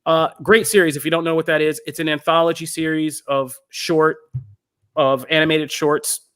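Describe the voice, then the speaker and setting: A man's voice: a little high, little pitch movement, normal speed, a bit bright, smooth. An American man from Pennsylvania, talking casually and in a rambling way as a podcast host giving pop culture recommendations. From Pennsylvania, United States.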